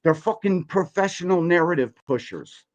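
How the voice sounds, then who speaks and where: A man in his forties with a fairly high voice, ranting on a livestream. He talks bluntly and emphatically, swearing freely and throwing out rhetorical questions. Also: animated, normal speed, dull audio. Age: 40s. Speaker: podcast host reacting to a video. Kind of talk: heated, profane livestream commentary.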